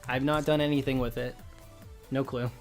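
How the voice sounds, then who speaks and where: A man's voice: medium pitch, flat delivery, normal speed. A man live streaming a game, explaining his opinions in casual, informal chat while his voice starts to give out. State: losing his voice. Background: music.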